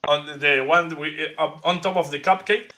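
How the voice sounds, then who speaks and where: A man with an Argentinian accent chatting as a guest artist, halting and restarting as he searches for English words. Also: on the high side, fairly even intonation, sharp tone. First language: Spanish. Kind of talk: casual chat.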